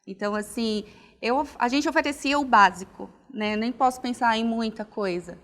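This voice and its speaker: A woman's voice: a little high, moderate pace. Woman, reflective interview guest, filler-heavy speech, long hall reverb. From Northeast Brazil, Alagoas. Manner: reflective, frequent "né" and restarts. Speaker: interview guest, pedagogue and psychology student. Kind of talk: casual conversational interview.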